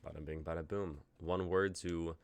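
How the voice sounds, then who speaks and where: A man's voice: low-pitched, steady tone, normal speed, clean tone. A man in his twenties hosting a casual livestream chat, upbeat and jokey as he runs the show. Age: 20s. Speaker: host.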